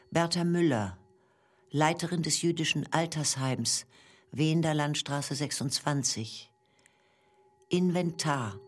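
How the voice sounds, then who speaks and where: A woman with a husky, creaky voice soberly reading archival inventories in clipped lists for a documentary radio play. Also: deep voice.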